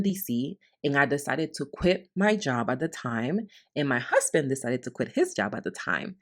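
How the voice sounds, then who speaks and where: Low voiced new woman, raised in the bronx, doing a heartfelt solo podcast, repeats stuff for emphasis. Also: a bit bright. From Honduras, raised in the Bronx, New York. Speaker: podcast host and mentor. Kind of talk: reflective solo podcast monologue.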